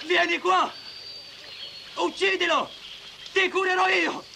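A man playing a panicked captive in a dramatic film dub, shouting, begging and cursing at his companion. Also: extremely high pitch, fairly even intonation, slow pace, vocal fry, slight background noise, exaggerated voice. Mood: panicked urging. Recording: loud film music underneath.

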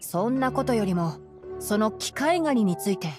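A woman playing a clever young male forest ranger in an anime game, boyish, crisp and dryly critical. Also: fairly high pitch, expressive, normal speed, lightly acted. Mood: businesslike. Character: youthful male forest ranger captain, clever, brisk, sardonic. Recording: game music behind the voice.

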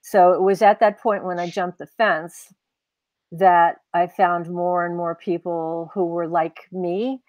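Older woman with a low-pitched voice. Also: steady tone, takes it slowly, dry recording, dull audio. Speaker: interviewed author. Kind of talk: casual interview conversation. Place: indoors at home on a video call. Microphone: wired earbuds on a video call.

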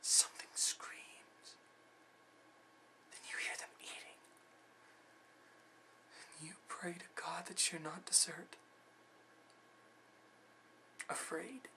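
A man's voice: on the low side, a little slow, gravelly, bright, forceful tone, a little hiss or hum, pitched below the performer's own voice, exaggerated voice. A man performing a film monologue as a haunted, fearful jungle survivor, intense and ominous as he warns newcomers. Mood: haunted, hushed. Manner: ominous, urgent warning.

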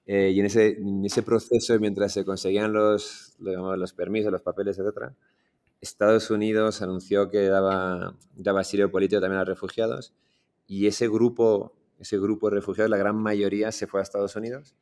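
A man in his forties with a Madrid Spanish accent, telling his story as an interview guest on a video call, relaxed and full of restarts. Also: lowish voice, a little fast. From Iran, raised in Madrid. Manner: relaxed, many restarts and fillers. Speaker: startup founder guest. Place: in front of a green screen, indoors.

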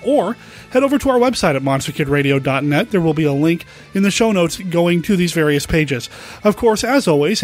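A man hosting a podcast in a conversational, informal way. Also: high-pitched. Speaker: podcast host.

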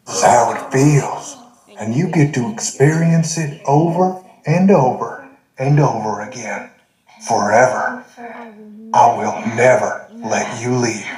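Game villain: man as gloating robot bear, deliberate and unhurried delivery, echoing sound. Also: mid-range voice, a little mellow, lightly acted. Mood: gloating menace. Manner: slow, deliberate, menacing. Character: menacing animatronic bear relishing endless torment. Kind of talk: video game villain voice acting.

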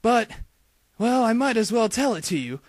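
Southern US small-town man, male actor, parody cartoon: high, theatrical, folksy, dry close sound. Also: sing-song, normal speed, no room sound. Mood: rueful storytelling. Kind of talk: parody cartoon dub acting.

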